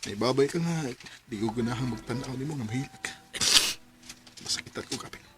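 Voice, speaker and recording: A young man with a Cebuano accent, earnest and soft-spoken, acting the lead in a radio drama. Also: mid-range voice, fairly quick. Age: young adult. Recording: faint music underneath.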